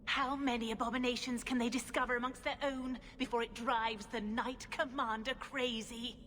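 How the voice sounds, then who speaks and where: A woman's voice: a little high, steady tone, moderate pace, pushed and bright. A woman playing a fanatical, power-hungry blood mage in a fantasy video game, haughty, theatrical and menacing. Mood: gloating. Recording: long hall reverb, faint noise.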